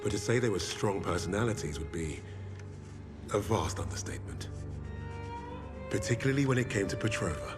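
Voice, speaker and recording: An actor playing a composed, determined British sergeant, narrating a war game story in a British accent. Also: male voice, sits low, lively intonation, fairly quick, pauses often, over music. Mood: reflective. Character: composed, determined male British army sergeant leading commandos. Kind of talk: dramatic scripted game narration.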